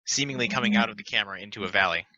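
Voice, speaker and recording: A man's voice: lowish voice, racing, sharp tone, no echo. A man reading scripted stage directions as a narrator in a table read. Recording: dull, dry lo-fi microphone.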